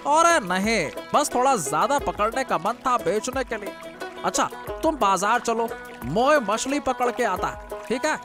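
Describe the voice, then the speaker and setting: A good-natured cartoon fisherman, acted by a man, with a high voice and a chatty, reassuring manner. Music plays clearly behind him. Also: animated, measured pace. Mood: busy, easygoing. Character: busy, good-natured village fisherman selling his catch. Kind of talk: Hindi cartoon dialogue.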